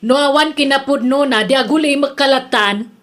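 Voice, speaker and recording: A woman's voice: sits high, normal speed, a bit bright. A woman playing a gossipy, defensive middle-aged village auntie in a radio drama, with an Ilocano accent. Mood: defensive, annoyed.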